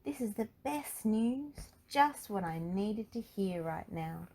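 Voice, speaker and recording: A woman voicing an eager young male racing plane training as a firefighter in a children's storybook, earnest and excitable. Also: mid-range voice, slow pace, voice pushed up. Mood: relieved, delighted.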